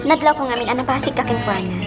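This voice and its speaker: A woman playing a curious friend who gently probes with questions in an Ilocano radio drama. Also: fairly high pitch, expressive, rapid-fire, loud music behind the voice, lightly acted. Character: curious, probing female friend named Althea.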